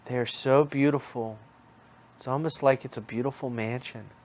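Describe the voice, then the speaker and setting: A man's voice: medium pitch, steady tone, moderate pace, a little mellow. Man narrating hypnotic guided imagery. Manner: hypnotic, repeats phrases soothingly. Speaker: guided relaxation storyteller.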